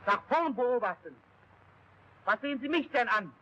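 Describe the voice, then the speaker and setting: An actor playing a strict German military instructor, clipped, commanding and scolding, on an old 1940s training film soundtrack. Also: male voice, extremely high pitch, animated, moderate pace, pushed and bright, full character voice, low-quality recording. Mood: stern, commanding.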